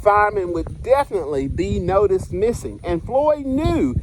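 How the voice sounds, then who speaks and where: Male storyteller, high animated voice, expressive children's read-aloud, faint background noise. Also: normal speed, low-quality recording. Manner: expressive storytelling with asides to listeners. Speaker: school principal reading aloud.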